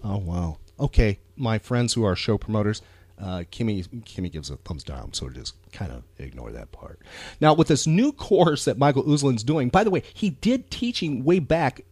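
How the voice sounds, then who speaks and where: An enthusiastic man hosts a casual pop culture podcast. His delivery rambles and swings freely up and down in pitch. The sound is dry and intimate, with little room around it. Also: very animated, moderate pace, a little mellow, husky, dry recording. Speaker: podcast host.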